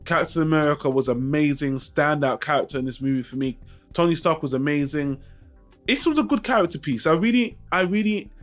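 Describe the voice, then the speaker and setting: Higher-pitched man vlogging over music. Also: a little fast. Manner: informal, mildly profane, frank. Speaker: YouTube channel host. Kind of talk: casual film review vlog, talking to viewers.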